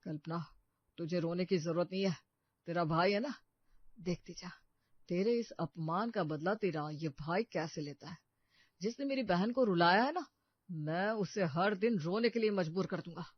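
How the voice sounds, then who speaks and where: A man's voice: high-pitched, normal speed, breathy edge, no echo. A man playing a protective, scheming young brother in a cartoon story, with an assured, earnest delivery. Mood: vengeful. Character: protective, scheming young working-class brother posing as rich suitor. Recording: muffled dry studio recording.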